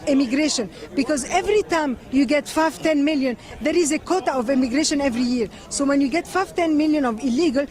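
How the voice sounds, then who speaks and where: A woman with an Egyptian accent answers questions on a city street in a high, earnest voice. She talks haltingly, restarting words as she makes her point. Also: a little mellow. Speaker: convention attendee. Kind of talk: street interview.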